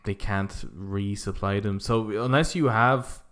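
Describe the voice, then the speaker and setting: A man's voice: on the low side, unhurried, fairly bright tone. A man co-hosting a podcast, talking informally about video games and often saying "like". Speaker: podcast co-host.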